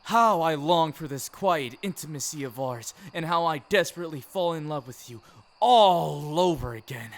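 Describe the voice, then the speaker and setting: A man's voice: fairly high pitch, animated, moderate pace. A man performing an ASMR romantic roleplay as a tender, teasing boyfriend, speaking affectionately to his partner. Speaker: amateur audio roleplay performer. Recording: clearly noisy, ambience behind voice. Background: ambient background sound.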